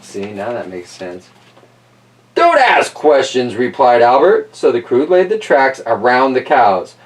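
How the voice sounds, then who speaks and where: Animated man reading a children's story aloud. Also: mid-range voice, unhurried, recorded from a distance. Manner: playful, wry commentary on the story.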